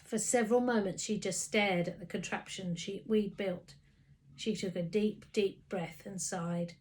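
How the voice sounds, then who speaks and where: A woman narrating a children's story in an expressive storytelling style. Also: mid-range voice, measured pace, a little hiss or hum. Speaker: story reader.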